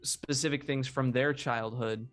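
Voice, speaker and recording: A man's voice: mid-range voice, steady tone, measured pace, a bit bright. A man giving casual, reflective interview commentary, often rephrasing as he thinks. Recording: music playing behind the voice. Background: background music.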